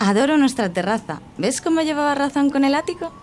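A woman's voice: high-pitched, animated, fast talker, a little mellow, slight background noise. A woman playing an assertive, persuasive wife in a Spanish audio drama. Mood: smug, persuasive. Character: assertive, persuasive wife of a government decision-maker. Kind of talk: Spanish audio fiction acting.

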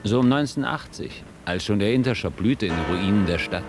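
A man's voice: lowish voice, animated, fast talker, over music. An older man, an actor playing an aging writer who reminisces in a wistful, ironic and literary way in a radio play. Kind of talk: radio play narration and dialogue.

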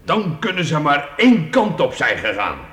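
A man's voice: fairly high pitch, wildly swooping pitch, moderate pace, a bit bright. An actor playing a domineering medieval knight who barks orders and scorns his servant in a youth radio drama. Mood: scheming.

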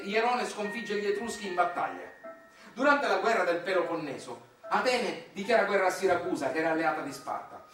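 A man's voice: high voice, fairly bright tone. An actor playing a wry, impassioned working-class Sicilian father in a theatrical monologue, declamatory and colloquial, with a Sicilian accent. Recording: echoey distant stage recording with music.

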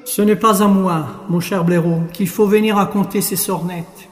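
Pompous judge in a booming echoey hall, male actor. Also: steady tone, normal speed, lightly acted. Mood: sardonic. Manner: wordy, formal. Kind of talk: French audio drama acting. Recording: very echoey hall, long reverb.

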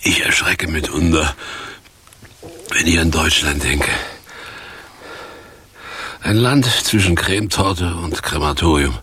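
An actor playing a grandiose, bitter vagrant and former stage hero in a radio play, speaking in a declamatory, sardonic way. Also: male voice, low voice, slow, long pauses, pushed and bright, slightly rough, full character voice. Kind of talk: 1980s radio play acting.

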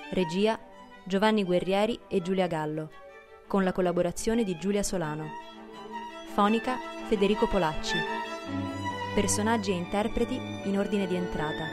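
A woman narrating a radio drama and reading its credits in a scripted style. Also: medium pitch. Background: classical string music.

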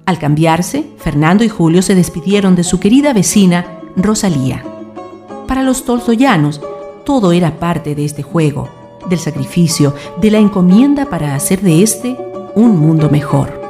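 A woman's voice: fairly low pitch, animated, normal speed, mellow, faint music behind. A woman reading scripted narration for a radio drama.

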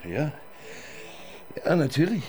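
A man's voice: a little high, sing-song, slow, a little mellow. An actor playing an awkward, flustered male police assistant in a radio crime drama, stammering through his replies.

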